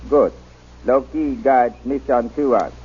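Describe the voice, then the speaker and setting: An actor playing a wary, loyal native guide who speaks halting, clipped pidgin English in an old-time radio drama. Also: male voice, medium pitch, slightly rough. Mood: wary, guarded. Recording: muffled, dull old radio recording.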